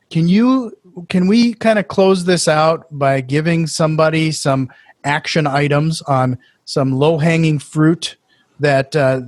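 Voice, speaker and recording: A man hosting a podcast in casual chat, talking in quick restarts with filler words and eager reactions. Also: a little high, no room sound. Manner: friendly, stumbling restarts and "uh", enthusiastic reactions. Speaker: podcast host.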